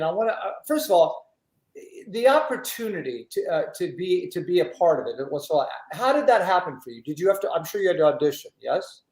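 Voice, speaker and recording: A man with a slightly high voice hosts a chat show. He speaks effusively and leans on his words with emphasis. The sound is roomy and echoey. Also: a little mellow. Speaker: talk show host. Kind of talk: enthusiastic interview chat.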